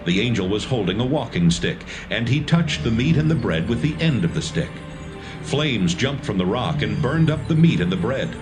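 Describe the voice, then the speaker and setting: Brief: lower-pitched male narrator for a dramatised audio Bible, steady delivery over a music bed. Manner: measured, even storytelling.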